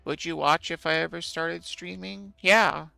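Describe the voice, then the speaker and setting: Creaky, bright-voiced man chatting while gaming. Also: on the high side, fairly even intonation, measured pace, no room sound, faint music behind. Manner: musing, self-deprecating, answers chat. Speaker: streamer playing a game. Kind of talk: casual gameplay commentary, chatting with viewers.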